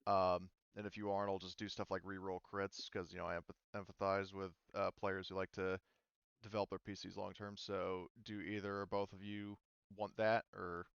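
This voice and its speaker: Male game master, low register, dry close sound, casual and hesitant explainer. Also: little pitch movement, moderate pace, creaky, fairly bright tone, clean tone, no echo, highs cut off. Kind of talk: casual explanatory group discussion before a tabletop game. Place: online voice call.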